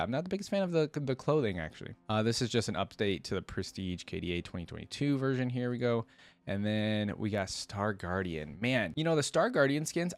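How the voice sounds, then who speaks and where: A man's voice: mid-range voice, no echo. A man giving casual, unscripted reaction commentary as a video host, enthusiastic and rambling with informal, slangy wording.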